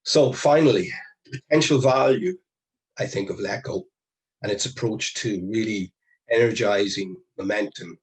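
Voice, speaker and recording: Male presenter, low husky voice, earnest and halting, video-call audio. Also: rough recording. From Ireland. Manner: earnest, halting with restarts and fillers. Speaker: conference presenter. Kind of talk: online conference presentation. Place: home or office on a video call. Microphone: video call mic.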